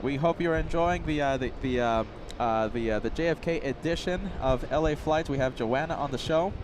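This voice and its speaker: A man's voice: on the high side, normal speed. A man hosting a casual livestream outdoors near an airport, eager and chatty. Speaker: livestream host. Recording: loud outdoor traffic noise.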